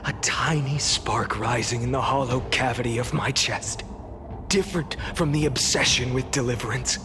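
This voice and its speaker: An actor playing a vengeful, tormented warrior who has endured countless cycles, declaiming in a grand, defiant video game style. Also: male voice, medium pitch, moderate pace, natural voice. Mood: grim, weary resolve. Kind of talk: dramatic video game voice acting. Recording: loud music behind the voice.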